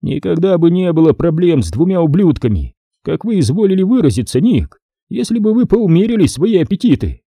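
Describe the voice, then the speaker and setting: Casting: male narrator as haughty, depraved major in an audiobook thriller, raised pitch, swooping sardonic courtesy, dark tone. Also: wildly swooping pitch, moderate pace, breathy edge, no room sound, studio quality, heavily acted. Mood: indignant, unhurried. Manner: mannered, mockingly courteous speech.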